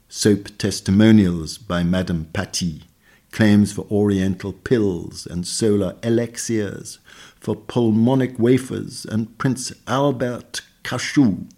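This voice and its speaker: A man reading a literary essay aloud as scripted narration, in a measured, articulate, bookish manner. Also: fairly low pitch, darkish tone, husky. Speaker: reader of a magazine essay.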